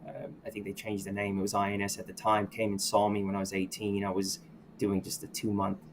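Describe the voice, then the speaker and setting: A middle-aged man, a podcast guest from the United States, talking candidly about his past in a reflective, halting way, often restarting and saying "you know.". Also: fairly low pitch, monotone, background noise. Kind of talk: candid personal storytelling.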